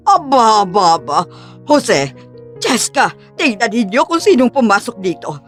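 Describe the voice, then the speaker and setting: A woman's voice: on the high side, huge pitch swings, slow, fairly bright tone, soft background music, exaggerated voice. A woman playing a boastful, snobbish middle-aged aunt in an audio drama, with a mocking, gloating manner.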